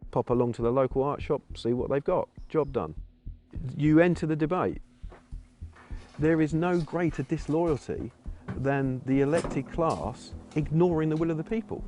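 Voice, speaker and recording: A male protester with a loud voice, speaking in a forceful, emphatic way. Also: fairly high pitch, moderate pace, faint music behind.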